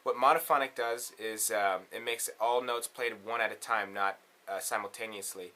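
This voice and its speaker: A man's voice: lowish voice, fairly even intonation, moderate pace, bright, forceful tone. A man presenting a casual step-by-step synthesizer tutorial in an instructional way, often checking in with the listener.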